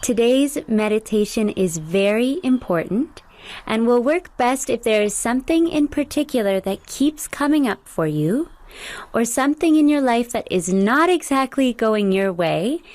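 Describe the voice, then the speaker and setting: A young adult woman leading a guided meditation, speaking slowly and soothingly as she gives gentle instructions. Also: a little high, animated, no room sound.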